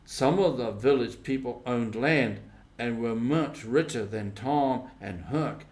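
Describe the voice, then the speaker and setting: Guy reading an audiobook story, real slow, deliberate short phrases, medium pitch. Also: darkish tone. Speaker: audiobook reader. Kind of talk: scripted storybook narration, simplified classic novel.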